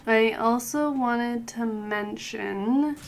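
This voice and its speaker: Hoarse young woman, slow gaming guide. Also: on the high side, a little hiss or hum. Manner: unhurried, clears throat often. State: hoarse from a cold. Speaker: gaming youtuber. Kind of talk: casual game guide voice-over.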